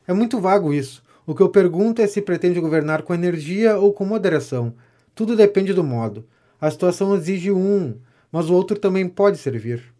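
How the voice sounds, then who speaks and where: A man acts out a self-important gentleman of letters in a period comedy audio drama. He has a Brazilian accent and a fairly high male voice, and he declaims pompously, lavish with flattery. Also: moderate pace. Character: vain, self-important gentleman pamphleteer, pompous political know-it-all. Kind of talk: audio drama of a 19th-century stage comedy.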